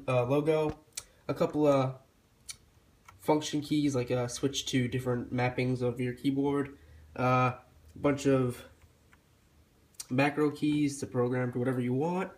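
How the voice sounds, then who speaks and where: A man's voice: medium pitch, fairly bright tone. Stuffy-nosed young man rambling casually. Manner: rambling with frequent fillers and restarts. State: stuffy from a cold. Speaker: tech reviewer. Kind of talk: casual product review.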